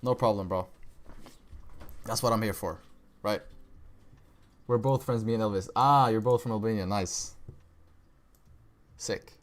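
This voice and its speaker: A man's voice: fairly low pitch, a little slow, long pauses. Young man with an American-sounding accent. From Kosovo. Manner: casual, slangy, says "bro" and "right?" often. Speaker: forex trading streamer. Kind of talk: casual live-stream chart commentary.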